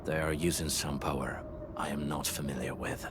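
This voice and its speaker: Low-voiced grave warrior. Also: male voice, steady tone, background noise. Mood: grave, measured. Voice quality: low-pitched voice. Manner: measured, serious, expository. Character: grave adult male warrior scout, stoic and knowledgeable. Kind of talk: video game character acting. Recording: voice over game music.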